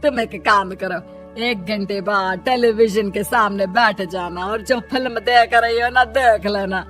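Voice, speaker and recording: A woman acts out a begging holy man in a comic tale. He is self-assured and greedy, pronouncing slowly and loudly with a pompous drawl over faint music. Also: medium pitch, lightly acted. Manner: slow, drawn-out, pompous pronouncing.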